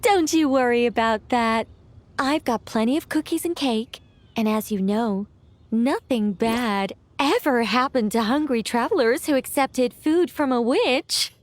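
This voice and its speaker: A woman's voice: on the high side, unhurried, lightly acted. A woman playing a genteel, hospitable witch in a video game, polite, precise and faintly prim. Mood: composed, hospitable. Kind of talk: video game cutscene acting.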